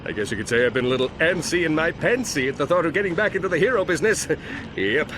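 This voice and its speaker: A man's voice: fairly high pitch, animated, fast talker, background noise, natural voice. An actor playing a boastful, cowardly, dim-witted male superhero who talks bombastically and narrates. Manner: bombastic, goofy, panics loudly.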